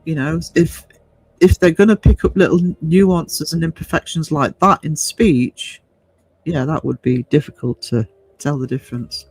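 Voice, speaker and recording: The fifty-something man running an online panel stream, slightly high voice, musing haltingly between viewer comments over soft background music. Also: husky, rough recording. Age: 50s. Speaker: livestream host reading viewer comments. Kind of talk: casual discussion.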